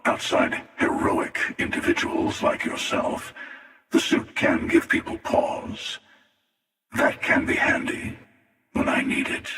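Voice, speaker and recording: An actor playing a menacing, sardonic, world-weary armored warrior in a video game, with a gravelly, taunting, unhurried delivery. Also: male voice, sits high, pushed and bright, exaggerated voice. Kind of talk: video game character voice lines. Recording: cavernous long reverb, distant.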